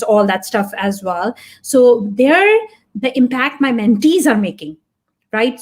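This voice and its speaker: A woman whose first language is Punjabi, speaking as a podcast guest in an earnest, reflective way, thinking aloud. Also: mid-range voice. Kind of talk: casual interview talk.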